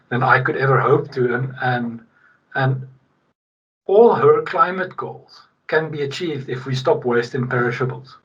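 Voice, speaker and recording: Husky man from South Africa, distant. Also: mid-range voice. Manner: animated, emphatic, frequent restarts. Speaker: guest, logistics executive. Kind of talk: casual podcast interview. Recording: distant, dull remote-call sound.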